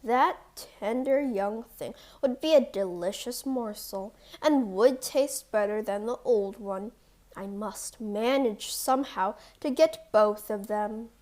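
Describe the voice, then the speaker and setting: A woman acts out a sly storybook wolf, hungry and scheming. The words come slowly and coaxingly, with menace underneath, in a voice pitched high for such a beast. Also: darkish tone.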